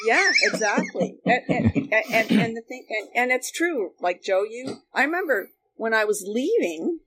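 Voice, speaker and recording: A woman's voice: a little high, big pitch swings, moderate pace, a bit bright. Canadian woman reminiscing, noisy recording. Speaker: guest, former radio worker. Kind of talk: casual reminiscing conversation. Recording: noticeable background noise.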